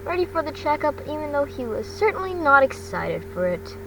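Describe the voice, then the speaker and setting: Female amateur story reader, higher-pitched, stumbling delivery, recorded off-mic with noticeable noise. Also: big pitch swings. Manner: halting reading, stumbles over words. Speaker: amateur fan fiction reader. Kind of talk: scripted narration, reading a story aloud. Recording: distant mic with loud rumbling noise.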